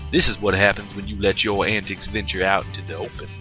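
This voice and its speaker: A man playing a stern, forceful big stallion. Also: sits low, level tone, soft background music. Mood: stern lecture. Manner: measured, lecturing. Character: stoic big farm stallion, reproachful older brother. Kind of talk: comic fan fiction character reading.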